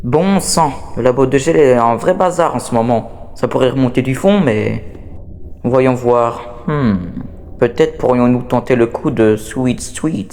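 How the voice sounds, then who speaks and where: A man voicing an anxious, reluctant toy creature with a halting delivery, in an amateur horror game dub. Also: medium pitch, darkish tone, slight background noise, close to an everyday voice. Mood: pondering. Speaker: amateur voice dubber.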